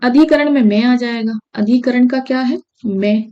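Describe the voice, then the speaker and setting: A woman's voice: fairly high pitch, moderate pace, muffled recording. A woman from India teaching an exam-prep grammar lesson. She repeats key phrases and keeps checking in with her students.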